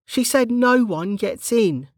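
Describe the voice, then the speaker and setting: A careful, rule-minded female guard, as a narrator puts her on, voiced higher than the narrator's usual tone. She speaks slowly, with a lively lilt. The sound is dry and close. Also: no echo, full character voice. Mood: earnest. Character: dutiful, anxious female guard.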